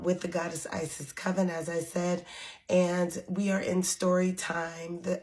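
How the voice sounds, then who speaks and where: A woman leading a spiritual coven, talking casually to her followers while she recovers from losing her voice, with many pauses and ums. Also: lowish voice. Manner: slow, unhurried, with frequent ums. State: recovering from lost voice. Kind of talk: casual spiritual talk to camera.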